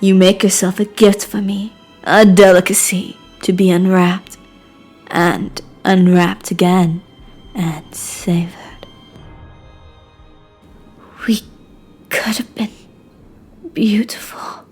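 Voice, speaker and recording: A woman voicing an obsessive, lovesick madman, theatrical and crooning, with breathy whispers, in a game character reel. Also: medium pitch, steady tone, slow, long pauses, darkish tone, soft background music. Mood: predatory, seductive, then wistful. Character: obsessive lovesick madman, tender then menacing suitor.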